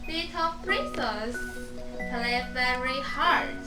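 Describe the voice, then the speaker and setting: Slow, high-voiced young woman narrating. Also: low-quality recording. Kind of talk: storybook narration for a puppet show. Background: loud tinkling glockenspiel music.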